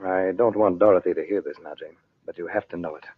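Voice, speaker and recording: An actor playing an earnest, ardent hero and magician in an old-time radio drama. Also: male voice, low-pitched, steady tone, quick pace, slight background noise, close to an everyday voice. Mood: concerned. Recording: thin, phone-like old radio recording.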